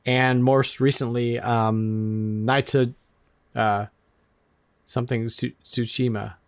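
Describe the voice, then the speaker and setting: A man co-hosting a retro gaming podcast in casual chat, enthusiastic and quick to laugh, often repeating words. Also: medium pitch, little pitch movement, clear, resonant tone, no echo, faint music behind. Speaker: podcast co-host.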